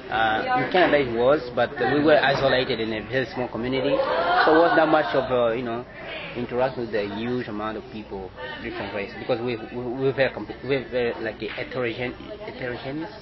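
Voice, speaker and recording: Man answering over loud crowd. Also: medium pitch. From Angola. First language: Portuguese. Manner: halting, many restarts and fillers. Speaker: interviewee. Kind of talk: casual interview answers. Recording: dull lo-fi sound with loud crowd noise.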